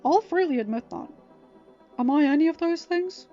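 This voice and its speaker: A woman voicing an earnest, protective young king, speaking gently but emphatically to reassure someone in a story. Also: high-pitched, lively intonation, moderate pace, dull audio, faint music behind, voice pushed up. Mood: earnest, persuasive.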